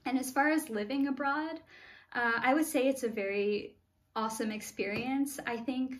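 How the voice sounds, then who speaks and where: A woman's voice: high voice, normal speed. A young American woman answering interview questions at home in a friendly, thoughtful way, with frequent "uh" fillers. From United States. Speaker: interview guest. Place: small room at home.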